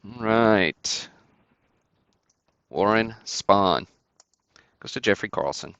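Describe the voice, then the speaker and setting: Easygoing guy doing a livestream card break, crackly low voice, chats with viewers, kinda dull audio. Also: animated, very slow, fairly bright tone, clear, resonant tone, no echo. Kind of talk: casual livestream commentary, calling out cards and buyers.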